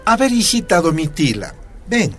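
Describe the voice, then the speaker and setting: A man's voice: sits high, sing-song, normal speed, soft background music. An actor playing a loving, stubborn Bolivian union man and father, reasoning tenderly in a radio soap opera. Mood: tender, reassuring.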